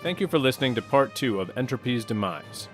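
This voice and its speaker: A man's voice: mid-range voice, animated, a little fast. A man reading a scripted podcast outro as the show's announcer. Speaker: show announcer. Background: guitar music.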